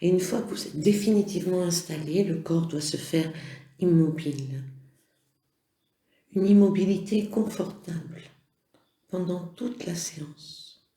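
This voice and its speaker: A yoga nidra instructor leads a guided relaxation at a very unhurried pace. The voice is soft-edged and mellow, with gentle, measured phrasing and pauses. It sits in a roomy, echoing sound. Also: medium pitch. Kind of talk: slow guided relaxation meditation.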